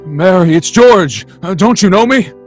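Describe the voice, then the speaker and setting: Guy doing an emotional family man in an old radio play, fervent, stammery, highish voice. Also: expressive, measured pace, faint music behind. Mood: desperate pleading. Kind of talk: old-time radio drama acting.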